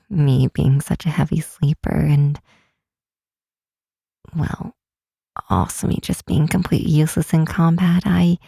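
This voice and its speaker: A woman playing a timid, self-doubting young fantasy healer in an ASMR roleplay, speaking softly and haltingly in a breathy half-whisper. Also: sits low, no echo, clean studio sound, heavily acted. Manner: halting, sighing, unsure delivery. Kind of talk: ASMR roleplay, intimate and hesitant. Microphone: close, dry microphone.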